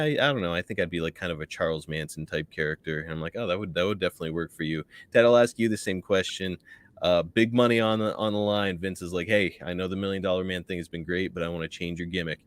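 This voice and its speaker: A man's voice: deep voice, dry recording. A man co-hosting a podcast, reading listener questions and asking follow-ups in an upbeat, easygoing way. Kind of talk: casual interview chat.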